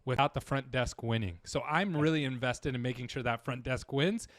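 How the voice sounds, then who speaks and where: A man's voice: medium pitch, normal speed, no room sound. Earnest man in a dry close sound. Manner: earnest, rambling, full of restarts. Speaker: dental marketing guest. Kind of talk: casual interview chat.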